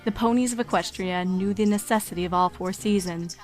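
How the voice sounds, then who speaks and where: A woman's voice: medium pitch, fairly quick, over music. A woman narrator reading a scripted story in storybook style.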